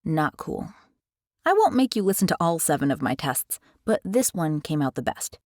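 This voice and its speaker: Lively American woman explaining. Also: sits low, normal speed, dry recording, studio quality. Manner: chatty, animated, curious. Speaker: tutorial host. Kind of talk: casual explainer. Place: carpeted bedroom. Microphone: headset mic.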